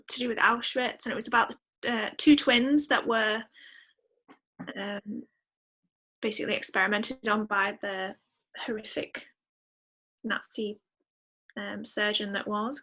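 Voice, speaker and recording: A woman's voice: on the high side, pushed and bright, low-quality recording. A woman speaking as a guest in a casual interview, enthusiastic and emphatic, repeating words for emphasis. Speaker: podcast guest, musician.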